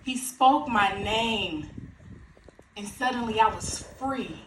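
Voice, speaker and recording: Woman doing devout lady disciple monologue, really slow w big pauses, bright voice, echoey room far from mic. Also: a little high. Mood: hushed, lost. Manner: slow, deliberate, theatrical pauses. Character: devout female follower of Jesus, grieving then triumphant. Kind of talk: dramatic Easter monologue before a congregation. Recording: roomy, distant mic, faint noise.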